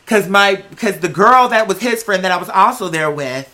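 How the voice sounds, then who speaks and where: An American vlogger telling personal stories in a candid, chatty, slangy way, with drawn-out words for emphasis. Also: mid-range voice, expressive, bright, forceful tone. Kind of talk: casual personal storytelling to viewers.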